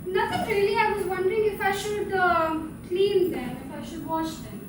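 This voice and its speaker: Stage play wife, female actor: conversational, gently needling, heavy room echo with noise behind. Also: extremely high pitch, moderate pace. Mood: gently insistent. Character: chatty, gently needling wife of a textbook publisher. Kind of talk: live stage play acting. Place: indoor theatre stage, live performance. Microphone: distant microphone. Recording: distant, very echoey theatre, background noise.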